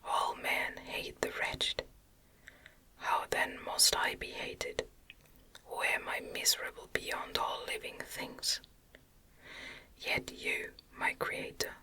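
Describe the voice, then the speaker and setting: A woman voicing a wretched, bitter, giant male man-made monster in a breathy whisper, solemn and eloquent, for a gothic audiobook. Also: pushed and bright.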